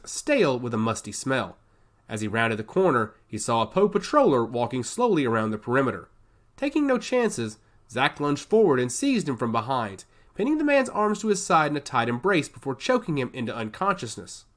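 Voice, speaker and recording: Animated male narrator with wide-swinging pitch. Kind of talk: scripted dramatic audiobook narration of science fiction action.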